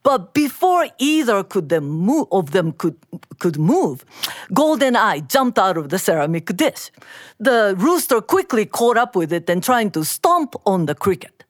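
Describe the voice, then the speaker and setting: A woman with a Japanese accent telling a folktale aloud in an animated, measured, dramatic way. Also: mid-range voice, dry recording, studio quality. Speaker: storyteller. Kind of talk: live oral folktale storytelling.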